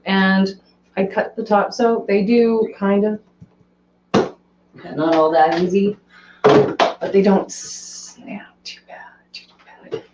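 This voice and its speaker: Woman, hoarse live stream host, chatty and off the cuff, echoey dull home recording. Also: mid-range voice, fairly even intonation, slow, long pauses, heavy background noise. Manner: chatty, rambling, reads and answers viewer comments. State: hoarse, voice cracking. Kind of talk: casual live stream chat.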